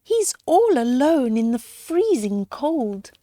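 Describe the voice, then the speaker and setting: A woman voicing serious, sensible storybook penguins, with an exclaiming, drawn-out, expressive delivery. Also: high voice, no echo, clean studio sound, full character voice. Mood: worried, wistful. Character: serious, sensible penguins, scolding, calling and fretting.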